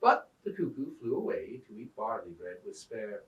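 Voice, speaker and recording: A man reading an old tale aloud as a storyteller, in a theatrical, deliberate manner. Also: fairly low pitch, big pitch swings, phone-quality sound. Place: indoors at a museum. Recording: roomy echo, distant microphone.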